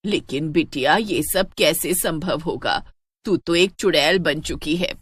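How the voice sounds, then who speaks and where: A woman's voice: sits low, unhurried, breathy edge. A woman playing an anxious, loving old village mother-in-law in a cartoon story, pleading and fretful. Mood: worried.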